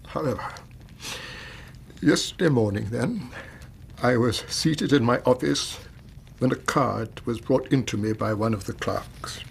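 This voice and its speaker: Radio drama part: pompous, anguished English banker, fiftyish, gravelly, formal and halting. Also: male voice, mid-range voice, a little hiss or hum. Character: distraught, pompous Victorian banker, about fifty.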